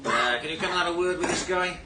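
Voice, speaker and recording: A man's voice: a little high, fast talker, roomy sound, heavy background noise, close to an everyday voice. An actor playing a matey but wary male club barman in a naturalistic crime drama.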